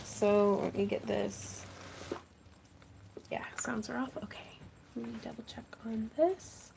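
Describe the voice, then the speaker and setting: A woman's voice: fairly high pitch, steady tone, slow, creaky, background noise. A woman hosting a craft livestream, chatting casually and laughing often as she greets viewers. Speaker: craft channel host.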